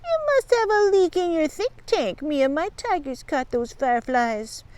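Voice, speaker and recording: A woman's voice: sits high, big pitch swings, moderate pace, no room sound, pitched above the performer's own voice. A woman voicing a blustering boy bully in a children's storybook, with slangy, sneering taunts.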